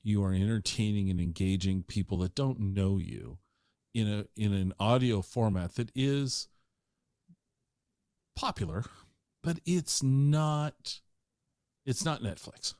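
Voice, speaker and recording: A man's voice: lowish voice, slow pace, long pauses, darkish tone, smooth, dry recording, clean studio sound. A man hosting a podcast interview, chatting casually and enthusiastically. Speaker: podcast host.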